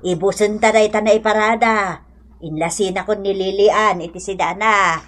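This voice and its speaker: A woman's voice: medium pitch, steady tone, normal speed, a bit bright, close to an everyday voice. Fussing, fond old Ilocano wife woman acting. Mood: fond, coaxing. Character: affectionate, bossy elderly wife, fussing over her husband. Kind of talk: comedy radio drama acting.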